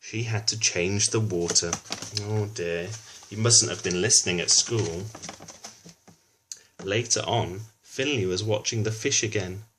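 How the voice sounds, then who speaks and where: A man's voice: lowish voice, unhurried, fairly bright tone, slight background noise, poor audio. A man reading a children's book aloud, narrating with chatty asides addressed to young listeners.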